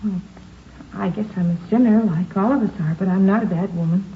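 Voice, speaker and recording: Tinny noisy vintage radio sound, actress as worried young woman, earnest, fretful, medium pitch. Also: a little fast, natural voice. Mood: troubled. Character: anxious, earnest young churchgoing woman being threatened. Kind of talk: 1950s radio drama acting.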